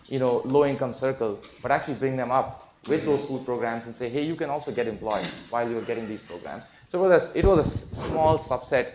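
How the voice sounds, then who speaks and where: A man's voice: mid-range voice, a little mellow. Man panelist rambling in an echoey hall. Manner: rambling, many fillers and restarts. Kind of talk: conference panel answer. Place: conference hall. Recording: echoey hall with faint clicks.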